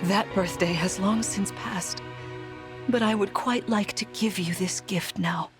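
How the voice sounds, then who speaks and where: An actress playing a gentle, regal mother queen in a video game, speaking in a tender, formal, measured way. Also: mid-range voice, steady tone, music in the background, lightly acted. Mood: tender, wistful. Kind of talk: scripted video game voice acting.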